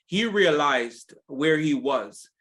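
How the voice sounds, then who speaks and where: A man's voice: on the high side, animated, takes it slowly, fairly bright tone. A man hosting an interview, affirming his guest and speaking with frequent ums and uhs. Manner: affirming, many fillers. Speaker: podcast host. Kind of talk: interview conversation.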